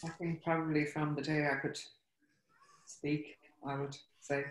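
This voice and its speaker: A woman's voice: low-pitched, level tone, a little slow, roomy sound, a little hiss or hum, rough recording. A woman in her thirties with an Irish accent, a native Irish speaker, speaking reflectively with many fillers as an artist on a webinar panel, recorded over a video call at home. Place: home room.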